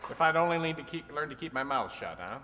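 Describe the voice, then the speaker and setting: A man's voice: a little high, animated, quick pace, a crowd in the background, noisy background, close to an everyday voice. Man playing a wisecracking quiz show host, echoey hall. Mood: genial banter. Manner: wisecracking, mock-obtuse, needling questions. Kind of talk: recreated old-time radio comedy quiz show. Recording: echoey hall with long reverb.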